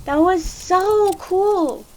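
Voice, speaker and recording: An excitable young child acted by a woman for an audio drama. The voice is extremely high and easygoing, chatting casually over faint background noise. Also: very slow, full character voice. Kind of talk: fan audio drama acting.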